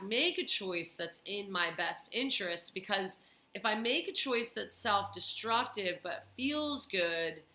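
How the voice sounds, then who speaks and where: A tired woman talking slowly and thoughtfully in a personal vlog, pausing often with fillers. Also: mid-range voice, bright, forceful tone, echoey room. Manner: slow, thoughtful, with many pauses and fillers. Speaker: vlogger.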